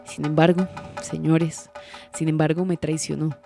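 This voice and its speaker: A refined lady witness in an audio drama. The voice is lowish and very mellow. She speaks formally, with melodramatic injury, over a light bed of music. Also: little pitch movement, unhurried, creaky. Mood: solemn, wounded. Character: refined, theatrically wounded upper-class lady witness.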